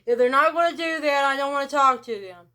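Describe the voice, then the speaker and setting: Woman, rural American country accent, deliberately flat robotic monotone, blunt, slightly high voice. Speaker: vlogger. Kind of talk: casual direct-to-camera monologue.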